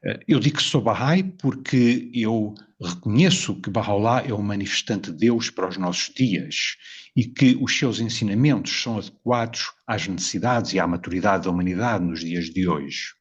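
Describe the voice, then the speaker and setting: A man from Portugal with a European Portuguese accent, presenting a measured, reflective spoken essay and often posing rhetorical questions to the viewer. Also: fairly low pitch, slightly rough, dull audio. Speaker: video presenter.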